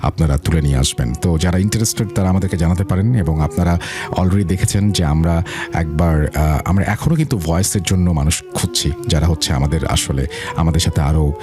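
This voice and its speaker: Deep-voiced Bangladeshi man hosting. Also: fairly quick, music in the background. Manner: mixes English words and phrases into talk. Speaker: host of a ghost story show. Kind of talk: conversational show hosting.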